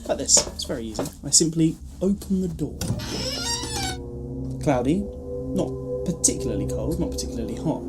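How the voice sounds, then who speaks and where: A man's voice: on the high side, lively intonation, normal speed, over loud music, lightly acted. A man playing a breezy, cheeky alien time-traveller in a fan-made audio drama, teasing and nonchalant.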